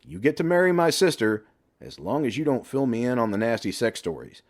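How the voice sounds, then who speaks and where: Brash, needling middle-aged buddy, played by a man, swinging pitch. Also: lively intonation, a little fast, natural voice. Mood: exasperated. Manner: blunt, emphatic, sweary banter.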